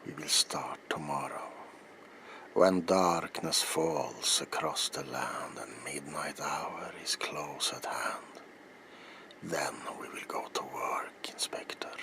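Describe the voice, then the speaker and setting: A man playing a grave, weary, obsessed older vampire hunter in a theatrical ASMR roleplay, speaking deliberately and dropping into breathy, ominous whispers. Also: extremely low pitch, sharp tone, heavily acted. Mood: solemn resolve. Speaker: character performer in roleplay.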